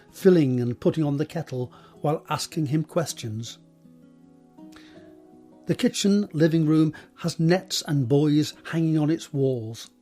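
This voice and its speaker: A man narrating scripted stage directions for an audio drama in a measured, descriptive reading. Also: fairly high pitch, a little mellow.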